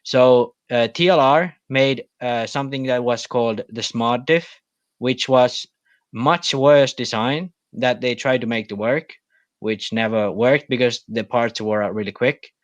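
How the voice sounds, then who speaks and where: Man, halting explainer, dull lo-fi mic, dry. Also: medium pitch, dry recording. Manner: explanatory, hesitant with many fillers. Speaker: podcast co-host. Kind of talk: casual podcast chat.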